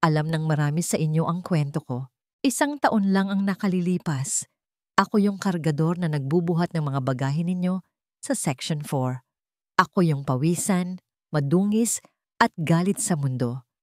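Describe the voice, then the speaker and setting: A woman's voice: deep voice, moderate pace, no room sound, close to an everyday voice. A woman narrator plays a humble young working man who has become an heir in an audio drama story. He speaks modestly and earnestly, recorded dry and close. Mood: earnest, moved. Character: humble young male porter turned company heir.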